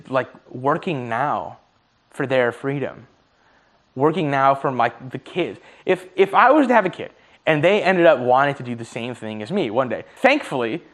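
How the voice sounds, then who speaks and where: A man in his early twenties musing aloud in a casual monologue, swearing freely and laughing at his own slips, his voice starting to tire. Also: on the high side. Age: 20s. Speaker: vlogger.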